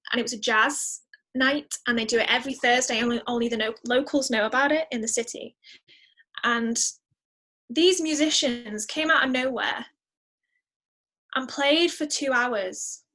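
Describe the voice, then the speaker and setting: High, bright-voiced young-sounding woman chatting. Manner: chatty, expressive, exclaiming. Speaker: podcast guest, musician. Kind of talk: casual interview chat.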